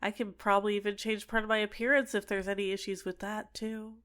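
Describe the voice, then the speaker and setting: A woman's voice: mid-range voice, little pitch movement, brisk, a bit bright, natural voice. A woman playing an obsessive, sentient dating-sim character in an ASMR roleplay, clingy and coaxing with menacing sweetness. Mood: teasing, coaxing. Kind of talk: scripted ASMR roleplay monologue.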